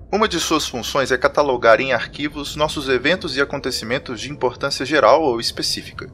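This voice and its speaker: A man playing an earnest, reserved councillor in an audio drama, speaking in a measured way. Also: sharp tone. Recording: faint noise and low rumble.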